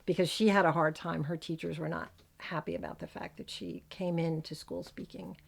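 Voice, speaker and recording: A woman's voice: on the low side, little pitch movement, normal speed, gravelly. A woman hosting a casual podcast, talking with a lisp after dental surgery. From United States. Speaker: podcast host.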